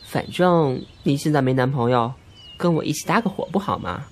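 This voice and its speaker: A man's voice: medium pitch, expressive, moderate pace. A man playing a naive, good-natured young man, blunt yet hesitant, in an amateur audio drama. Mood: earnest, blunt. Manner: hesitant, guileless. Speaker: amateur voice actor.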